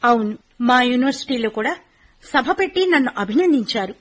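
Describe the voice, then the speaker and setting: An earnest young university student in a radio drama, voiced by a woman. She declaims her lines with a breathy edge. Her voice sits high. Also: lively intonation, fairly quick, a bit bright. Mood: earnest pride. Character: young university student, a fallen soldier's daughter. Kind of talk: radio play acting.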